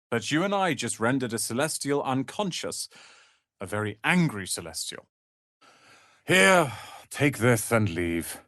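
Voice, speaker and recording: Proud, prickly young wizard's apprentice, dry studio sound. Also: male voice, medium pitch, a bit bright, dry recording. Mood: curt. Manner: haughty, clipped, theatrical delivery. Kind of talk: dramatic fantasy video game voice acting.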